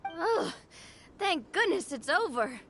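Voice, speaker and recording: A woman playing a spirited, confident young monster hunter, earnest and animated, in an anime game style. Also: extremely high pitch, slow, a little hiss or hum, heavily acted. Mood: relieved, playful. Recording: light game music behind the voice.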